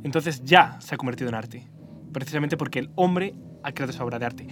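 A man's voice: medium pitch, quick pace, over music. A man with a Spanish accent hosting a podcast debate on art, explaining ideas at length and often correcting himself. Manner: explanatory, self-correcting, animated. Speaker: podcast host. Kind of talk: philosophical debate.

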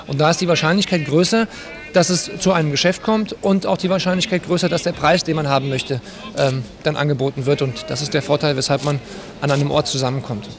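A man's voice: a little high, steady tone, fast talker, music in the background. A man giving a spontaneous, repetitive explanation from the visitors' gallery of a trading hall. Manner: explanatory, repeats himself. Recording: crowd murmur behind voice.

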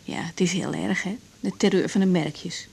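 A woman's voice: on the low side, fairly even intonation, moderate pace, gravelly, darkish tone, breathy edge, full character voice. Tactful, hesitant young woman doctor. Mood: amused. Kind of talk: naturalistic radio drama acting.

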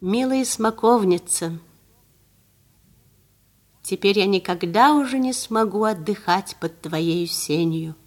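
A woman's voice: mid-range voice, slow, long pauses, a little mellow. A woman voicing a brave, kind young village girl in a fairy tale, speaking earnestly. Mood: sad.

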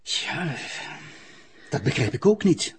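An actor playing a defensive Flemish public prosecutor who explains himself in an official manner in an audio drama. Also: male voice, fairly high pitch, big pitch swings, slow pace, breathy edge, exaggerated voice. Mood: defensive, explaining.

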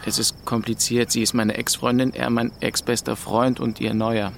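A man's voice: mid-range voice, flat delivery, moderate pace, vocal fry, slight background noise, close to an everyday voice. An actor playing a grieving, aimless young man of twenty-two, narrating a radio drama in a subdued, introspective way. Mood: guarded. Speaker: first-person narrator. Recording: music behind the voice.

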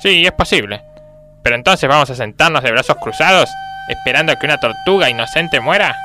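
An actor playing a matter-of-fact, cold-blooded academic expert in a radio parody documentary. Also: male voice, a little high, expressive, brisk, music in the background, close to an everyday voice. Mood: obliging.

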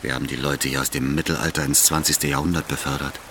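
An actor playing a smug, menacing Soviet party boss in a German radio drama, with a mocking, condescending and unhurried delivery. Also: male voice, very deep voice, level tone, bright, forceful tone, a little hiss or hum, exaggerated voice. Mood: smug, boastful.

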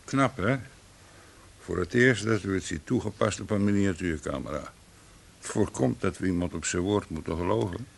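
Deep, gravelly, laconic henchman. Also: normal speed, full character voice. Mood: dry, menacing. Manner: dry, falsely apologetic. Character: laconic henchman with mock-courteous menace. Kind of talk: Dutch radio play acting. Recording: old radio play with hiss.

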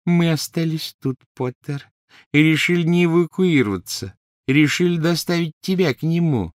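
A man voicing a huge, brutish teenage boy with an oddly gentle voice, menacing and jeering. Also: a little high, moderate pace, no room sound, studio quality, voice pushed up, close to an everyday voice. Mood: blissful. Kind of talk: audiobook character voice.

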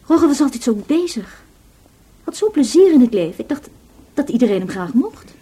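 An actress playing a frank, self-assured young student in a radio play, informal and unembarrassed. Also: female voice, high voice, very animated, moderate pace, mellow, husky, full character voice. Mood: guarded, casual. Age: young adult.

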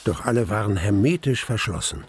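An actor playing a grave man who recounts mortal terror, narrating a radio play deliberately and with weighted pauses. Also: fairly low pitch, animated, slight background noise, natural voice. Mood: grave, measured. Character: grave male narrator recalling his mortal terror in a cellar. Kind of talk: dramatic first-person radio play narration.

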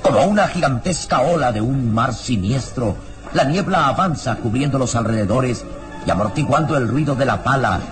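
A man's voice: medium pitch, animated, normal speed, soft background music. A man narrating a radio drama from a script in a theatrical, ominous and florid manner.

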